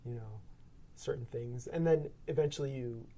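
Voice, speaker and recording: A man's voice: mid-range voice, normal speed, mellow. A man speaking as a guest sound designer in a casual round-table discussion, rambling with heavy use of "like" and "um".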